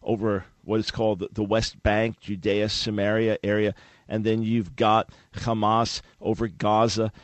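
A man's voice: lowish voice, flat delivery, measured pace, dry recording. A man hosting a talk radio show, speaking emphatically in rhetorical bursts.

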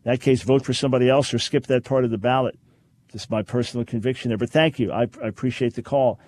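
A man hosts a call-in radio program from a studio, his voice at an ordinary middle pitch. He talks callers through his answers in a measured, explanatory way, repeating key phrases for emphasis. The recording is close and dry. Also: fairly even intonation, darkish tone, dry recording. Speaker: radio talk show host. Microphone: studio microphone.

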